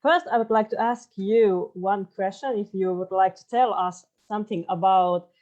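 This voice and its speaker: A woman's voice: medium pitch, moderate pace, a little mellow. A young woman in her twenties moderating a panel on a video call, polite and a little hesitant. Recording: dull, muffled call audio.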